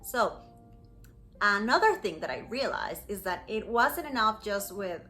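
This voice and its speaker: A woman English teacher speaks in a bright, forward voice with a Costa Rican Spanish accent. She tells her story to learners in clear, encouraging phrases, at a medium pitch, with music in the recording. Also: expressive, unhurried. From Costa Rica. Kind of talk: personal story told to learners.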